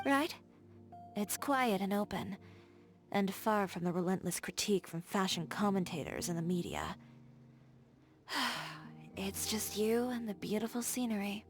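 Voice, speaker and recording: A self-assured young tailor from a video game, frank and a little dry. Her voice sits at an ordinary mid pitch and stays composed, never fussy. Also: very animated, unhurried, pauses often, faint music behind. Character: confident, assertive young female tailor.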